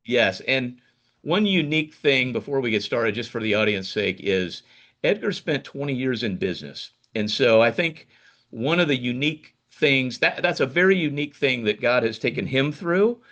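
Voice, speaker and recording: A man's voice: medium pitch, expressive, normal speed, fairly bright tone. A man hosting a podcast interview, chatting casually and rambling with many restarts. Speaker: podcast host.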